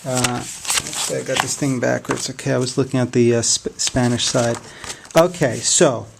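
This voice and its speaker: A man giving a casual, unscripted product review in a hesitant, halting way. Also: medium pitch, steady tone, gravelly, noisy background.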